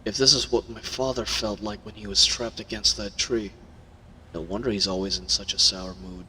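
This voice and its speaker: A man voicing a captive young werewolf hero, gruff, defiant and wounded, in an audio roleplay. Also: lowish voice, steady tone, moderate pace, pushed and bright, long reverb tail, a little hiss or hum, poor audio. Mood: hurt, brooding.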